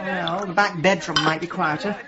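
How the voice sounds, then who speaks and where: An actress playing a brisk, sharp-tongued middle-aged British woman sleuth in a radio comedy-drama, dry and bossy. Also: fairly low pitch, steady tone, dull audio, very noisy, natural voice. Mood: dry, wry.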